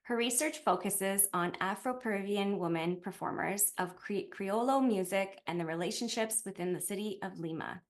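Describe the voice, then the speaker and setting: A woman hosting an academic podcast, reading prepared questions in a measured way. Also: mid-range voice. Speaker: podcast host. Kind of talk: scripted interview questions. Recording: loud music under the voice. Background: loud background music.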